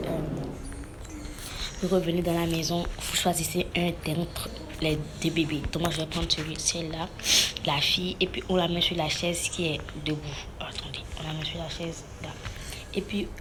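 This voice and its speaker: A woman's voice: lowish voice, noisy background. A woman with a cold giving a casual game tutorial, explaining step by step in a hesitant way. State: has a cold. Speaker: gaming tutorial host. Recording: loud music under the voice. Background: loud background music.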